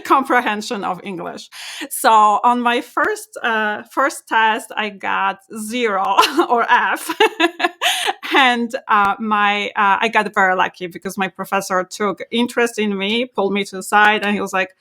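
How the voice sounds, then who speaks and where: A woman's voice: medium pitch, animated, a little slow, pushed and bright. A woman, telling her life story as an interview guest with frequent laughter and many filler sounds. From Poland. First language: Polish.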